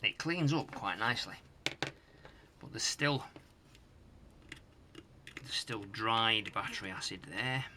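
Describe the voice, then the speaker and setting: A middle-aged British man giving casual hands-on repair commentary, musing aloud in a halting, hesitant way. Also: fairly low pitch, animated, noisy background. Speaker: host of a retro tech repair channel. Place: home workshop loft.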